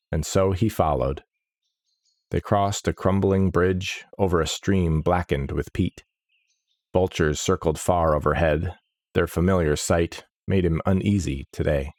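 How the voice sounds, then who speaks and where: A man narrating a fantasy audiobook in a measured, somber way. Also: low-pitched, a little mellow, no echo, clean studio sound.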